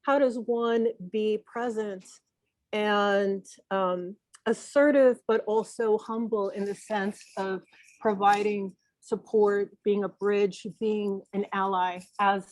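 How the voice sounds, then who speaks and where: A woman from Peru giving an earnest, reflective tribute at an online memorial, pausing and restarting as she speaks. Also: medium pitch, fairly even intonation, darkish tone, dry recording, low-quality recording. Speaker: journalist and consultant speaking at a memorial. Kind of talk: spoken tribute on a video call. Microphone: video call microphone.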